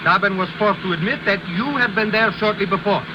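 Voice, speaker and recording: An actor playing a fussy, plaintive foreign reporter in a 1950s radio drama, with formal phrasing. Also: male voice, high-pitched, brisk, lightly acted. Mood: earnest. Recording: noisy vintage radio recording.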